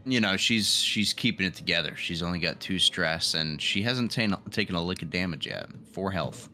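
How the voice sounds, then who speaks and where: A man's voice: deep voice, expressive, normal speed, bright, forceful tone, a little hiss or hum. A man from the United States chatting casually as a player in a tabletop game. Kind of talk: casual chat.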